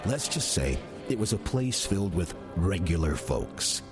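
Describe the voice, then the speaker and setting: An actor playing a sly, ancient demon posing as an old church usher, wry and sardonic, with an American accent, in an audio drama. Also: male voice, deep voice, sing-song, over music. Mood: sardonic musing. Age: older. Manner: wry, sardonic, deliberate storytelling. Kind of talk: audio drama first-person narration.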